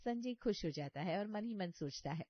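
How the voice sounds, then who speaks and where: A woman narrates a scripted story in a mid-pitched voice. The recording is very dry and slightly dull, with no room sound. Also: animated, moderate pace, dry recording.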